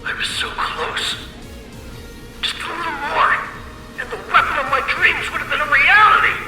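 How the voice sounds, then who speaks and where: A man's voice: very high voice, moderate pace, over music. A man voicing a manic, obsessive inventor in a video game, frantic and melodramatic. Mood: manic, desperate. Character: manic obsessive inventor, desperate to beat a rival. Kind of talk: over-the-top video game acting.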